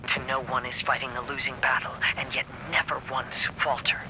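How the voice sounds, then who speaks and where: An actor playing a gentle, earnest young samurai heir in a video game, soft-spoken and poetic in manner. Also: male voice, mid-range voice, level tone, brisk, noisy background, natural voice. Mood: quiet melancholy. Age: young adult. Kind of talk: dramatic video game dialogue.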